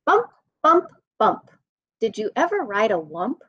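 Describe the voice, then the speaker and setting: A woman in her thirties reads a children's story aloud in an expressive, deliberate sing-song, indoors on a webcam microphone. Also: on the high side, husky. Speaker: school staff nutritional specialist reading a children's storybook. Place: indoor room at home. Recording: dull lo-fi webcam sound.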